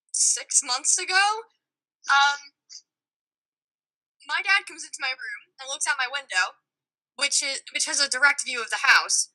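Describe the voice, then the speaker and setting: A young woman's voice, pitched high and strikingly bright and forward. She tells a personal story in casual podcast style, pausing and picking her way through it informally. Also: rough recording. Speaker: podcast guest.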